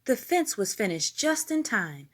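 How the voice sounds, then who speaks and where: A woman reading a children's storybook aloud in an expressive narrating style. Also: fairly high pitch, unhurried.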